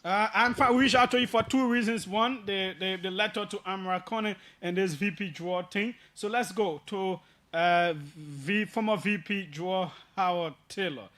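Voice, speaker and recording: A man hosts a current affairs talk show on the radio. His voice sits high for a man. The sound is dry, with no room around it, as he talks and reads statements aloud. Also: normal speed, a bit bright, dry recording.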